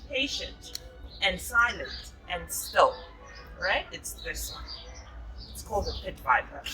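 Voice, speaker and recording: A woman's voice: medium pitch, sharp tone, recorded from a distance, poor audio. A South African woman reading a picture book aloud to young children and explaining it, encouraging them and confirming what they say.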